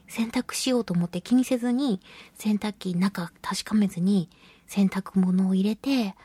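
A woman hosting a radio talk show on her own, chatting casually and telling stories in an animated, giggly, colloquial way. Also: mid-range voice, breathy edge, no room sound. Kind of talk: casual solo radio chat.

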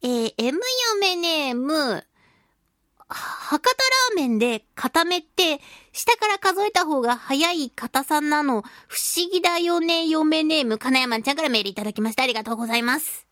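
A woman hosting a radio show, chatting casually and reading listener mail in a playful, expressive way. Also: sits high, normal speed, dry recording, clean studio sound. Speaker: radio show host, voice actress.

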